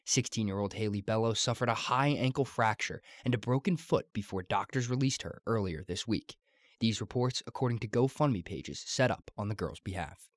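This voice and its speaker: A man's voice: on the low side, moderate pace, dry recording, clean studio sound. A young American man delivering broadcast news as a student TV reporter, in a formal announcer-like manner. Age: young adult.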